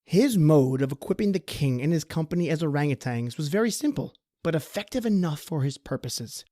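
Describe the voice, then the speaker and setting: A male narrator reads a gothic horror tale. His voice is a little higher than average, with measured, formal delivery. The recording is dry, with no room sound. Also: lively intonation, dark tone, no room sound.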